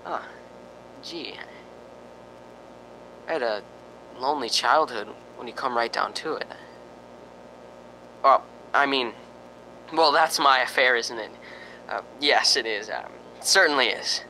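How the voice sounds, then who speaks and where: A man's voice: mid-range voice, unhurried, pauses often, bright, forceful tone, a little hiss or hum, rough recording. A man playing a nervous, lonely radio reporter in a spooky radio drama, stammering and rambling with sighing ohs. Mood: wistful, nervous. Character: jittery, lonely radio reporter broadcasting from a haunted house.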